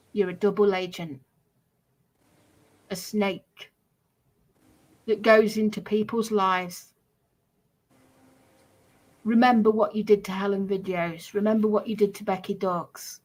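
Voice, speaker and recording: A woman's voice: mid-range voice, fairly even intonation, slow, long pauses, darkish tone, rough recording. A woman live streamer delivering a confrontational monologue in an accusatory, emphatic, repetitive manner.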